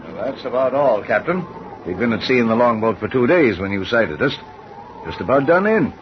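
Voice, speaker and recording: An actor playing a tired, shipwrecked ship captain who speaks plainly in an old radio drama. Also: male voice, mid-range voice, big pitch swings, a little fast, slight background noise, lightly acted. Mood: weary. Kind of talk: 1940s radio acting. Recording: dull old radio recording.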